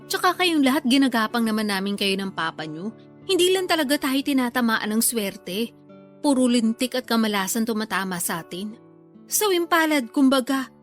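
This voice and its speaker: A woman's voice: on the high side, close to an everyday voice. A woman playing a blunt, nagging, hard-up middle-aged Filipino mother in a radio drama. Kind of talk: Tagalog radio drama acting.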